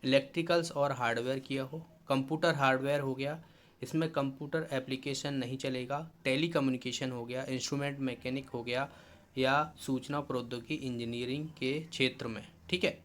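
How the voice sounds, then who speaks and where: A man with a medium-pitched voice teaches in an informal explainer style. He reads items out and then explains them, repeating key points in an instructive way and dropping in English technical terms. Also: fairly even intonation, fairly quick, a bit bright. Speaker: exam-preparation teacher.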